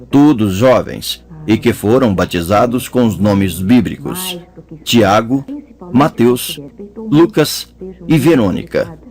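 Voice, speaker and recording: A man narrating an audiobook chapter in a measured storytelling manner. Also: medium pitch, a little mellow. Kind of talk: scripted narration.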